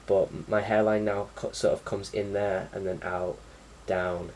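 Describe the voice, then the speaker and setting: Low-voiced young man, chatting casually. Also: flat delivery, measured pace, a little mellow. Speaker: vlogger. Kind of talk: casual chat to camera. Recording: distant mic with faint background noise.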